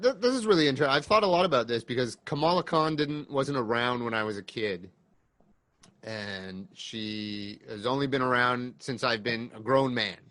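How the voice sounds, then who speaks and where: Male podcast guest, thirties, mid-pitched, reflective yet enthusiastic, conversational delivery, very dry close sound. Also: pushed and bright, no room sound. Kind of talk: casual chat.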